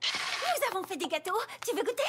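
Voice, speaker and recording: A woman's voice: extremely high pitch, big pitch swings, a little fast, background noise. A woman voicing a playful, scatterbrained young party pony with a bouncy, sing-song delivery in a French cartoon dub. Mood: giddy. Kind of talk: animated children's cartoon dub. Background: prominent music.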